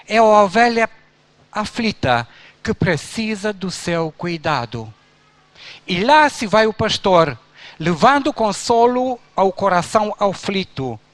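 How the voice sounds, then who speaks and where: Slow, high-voiced pastor from Romania. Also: male voice, a bit bright, dry recording. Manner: slow, emphatic recitation of long verb lists. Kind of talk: church speech, reciting a poem.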